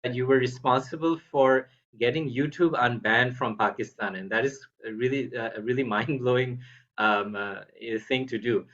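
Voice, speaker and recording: A man's voice: medium pitch, a bit bright. Guy hosting a book chat podcast, polite, lots of uhs, kinda dull lo-fi sound. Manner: polite, many uh fillers. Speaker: podcast host. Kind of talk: book interview.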